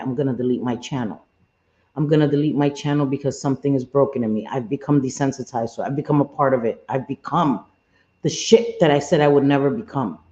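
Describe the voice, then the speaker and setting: A woman with a husky smoker's voice hosting a live stream, talking bluntly and earnestly, often repeating herself, with some salty language. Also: extremely low pitch, mellow. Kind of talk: candid livestream chat, reading chat comments. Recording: muffled roomy home stream audio.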